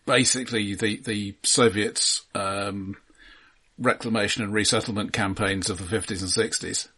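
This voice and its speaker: A man co-hosting a film discussion in a measured, dry way, with stammered restarts. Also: fairly low pitch, fairly even intonation, pushed and bright, slightly rough. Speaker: film discussion podcast co-host. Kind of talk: casual film review chat.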